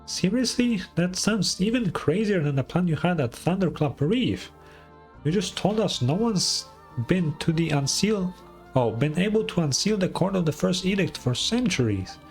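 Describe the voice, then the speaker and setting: A small female fairy sidekick from a fantasy game, acted out by a man, pitched well up. She is feisty and doubtful, firing off indignant, sarcastic retorts over background music. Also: expressive, normal speed. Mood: incredulous, sarcastic.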